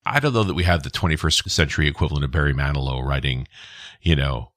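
A man's voice: extremely low pitch, fast talker, a bit bright, no room sound. A man hosting a podcast, chatting casually as he interviews guests. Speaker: podcast host.

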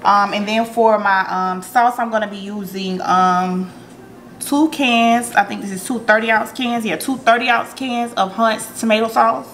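A woman hosting a relaxed cooking tutorial in her home kitchen, chatting to her viewers with plenty of ums as she works. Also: on the high side, measured pace, a little hiss or hum. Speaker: cooking vlogger.

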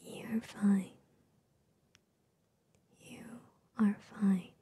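A young woman giving soothing ASMR affirmations in a soft, breathy whisper, gentle and repetitive, very close to the microphone. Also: medium pitch, little pitch movement, drawn-out words. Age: young adult. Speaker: ASMR host. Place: small bedroom with gaming setup, indoors. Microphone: large microphone very close to the mouth.

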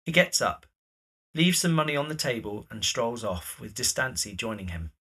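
Bright, animated male narrator. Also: medium pitch, normal speed, breathy edge. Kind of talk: scripted narration of stage directions for an audio drama.

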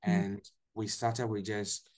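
A young man with a Ghanaian accent, a guest telling his story in a relaxed way on a video call from a small room, often restarting his sentences. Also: fairly low pitch, takes it slowly. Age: young adult. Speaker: guest, English teacher. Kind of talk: casual video-call interview.

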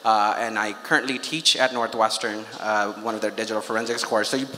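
A man's voice: lowish voice, steady tone, a little fast, sharp tone. A man hosting a charity fundraiser, addressing the audience informally through a handheld microphone and putting questions to them. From Pakistan (Karachi). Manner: conversational, engaging the audience with questions. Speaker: charity event host and fundraiser. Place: large auditorium hall on stage. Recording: echoey hall PA, long reverb.

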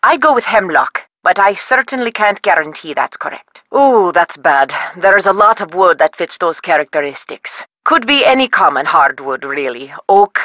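A woman's voice: fairly low pitch, lively intonation, moderate pace, hardly any pauses, pushed and bright, no echo. A woman playing a wood lab scientist in a video game, asking methodical questions over the phone with a dry joking manner. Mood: helpful.

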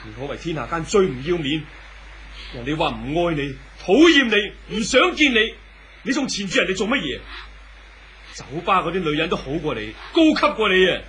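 An intense, high-pitched hot-tempered lover. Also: male voice, very animated, a little fast, bright, forceful tone, exaggerated voice. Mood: enraged, scornful. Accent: Hong Kong Cantonese. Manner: declamatory, intense, theatrical. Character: hot-tempered, scornful man torn between two women. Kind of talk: 1970s Cantonese radio drama acting. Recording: faint hiss on old radio recording.

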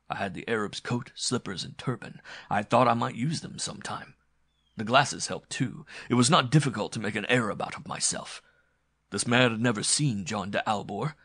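A man's voice: mid-range voice, a bit bright, husky, no room sound. Man acting a throaty, gloating brutal villain. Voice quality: throaty, guttural. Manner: boastful, menacing. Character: brutal, gloating male villain, cruel and scheming.

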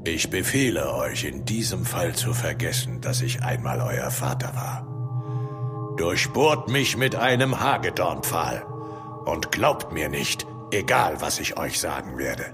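A grave old father in a horror audio play. His voice is very low and slow, every phrase solemn and commanding, with music underneath. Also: big pitch swings, full character voice. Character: stern, domineering old father issuing a grave warning. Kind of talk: German horror radio drama acting. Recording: music behind the voice.

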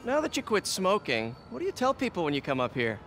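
A young masked hero with a fairly high, animated voice that swings freely. He is upbeat and quippy, like a friendly game protagonist, with music behind him. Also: fast talker, full character voice. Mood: dry, casual. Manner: quippy, playful. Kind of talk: video game voice acting.

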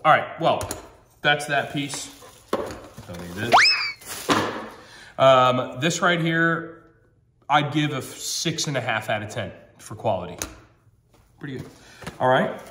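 A man's voice: mid-range voice, sharp tone, roomy sound, slight background noise. A man in his thirties with a slightly nasal voice from a cold, reviewing a product in a chatty, upbeat way at a table at home. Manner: chatty, upbeat, thinks aloud with ums.

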